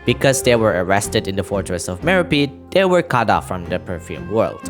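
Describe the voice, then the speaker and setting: A man's voice: fairly low pitch, very animated, a little fast, music in the background. A man narrating a scripted game story recap as a video creator, dropping in casual asides.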